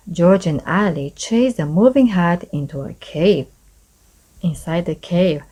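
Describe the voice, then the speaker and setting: Slow, lower-pitched woman reading a storybook. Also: darkish tone, low-quality recording. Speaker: storybook reader. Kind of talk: children's read-aloud narration.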